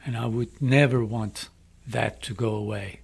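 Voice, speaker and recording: A middle-aged man with an Iranian accent speaks English slowly and deliberately, leaning on each phrase. His voice is gravelly and somewhat husky. He sounds like he is making an earnest inspirational appeal. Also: mid-range voice, steady tone. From Iran. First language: Farsi. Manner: slow, deliberate, emphatic phrasing. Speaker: speaker addressing young people.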